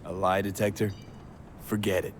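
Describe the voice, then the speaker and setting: A man's voice: deep voice, little pitch movement, moderate pace, lightly acted. A man voicing a terse, sardonic mercenary. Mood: skeptical. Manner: blunt, clipped, drops subjects from sentences. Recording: game audio with clear background noise.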